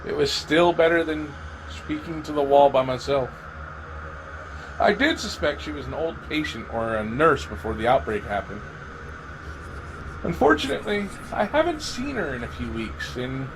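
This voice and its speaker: Audio drama lead: man playing haunted, lonely male survivor, slightly high-pitched, halting first-person narration, lightly noisy home recording. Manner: halting, dramatic pauses, repeated words. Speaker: amateur voice actor.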